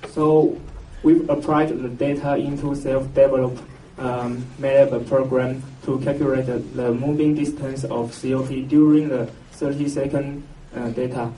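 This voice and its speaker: A man from Taiwan with a Taiwanese accent gives a scientific conference presentation, halting and hesitant, with very frequent filled pauses. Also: mid-range voice, level tone, dark tone. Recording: roomy, noisy, distant mic, muffled echo.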